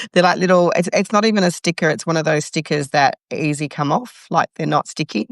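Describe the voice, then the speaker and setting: A woman with a gravelly edge to her voice chats in a relaxed, agreeable way and laughs easily. Her voice is dry and close, with no echo. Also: sits low, moderate pace. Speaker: podcast co-host. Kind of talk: casual chat.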